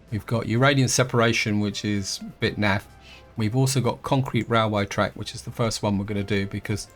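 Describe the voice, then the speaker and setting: A man narrates his strategy game in an unhurried, rambling way, stopping and restarting as he thinks aloud. His voice sits on the low side and sounds croaky from a sore throat. Soft music plays faintly behind him. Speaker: gameplay host. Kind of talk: casual let's-play commentary.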